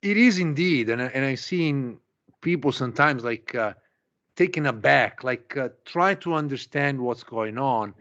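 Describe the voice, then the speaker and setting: A man's voice: medium pitch, animated, measured pace, a bit bright, lo-fi sound. A man hosting a conversational interview, easing into long, tentative questions with repeated starts. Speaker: podcast host, interviewer.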